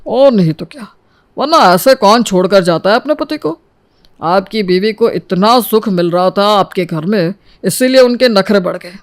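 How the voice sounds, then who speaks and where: A man's voice: high-pitched, lively intonation, moderate pace, no room sound. A man playing a gossipy, judgmental lawyer in a story, with an insinuating, disapproving way of talking. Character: judgmental, gossipy male divorce lawyer stirring up resentment.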